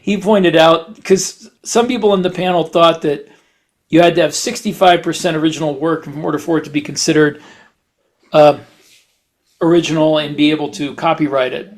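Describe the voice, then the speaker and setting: A man co-hosting a podcast in casual chat, talking haltingly and often restarting his phrases. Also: on the high side, steady tone. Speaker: podcast co-host and fiction writer.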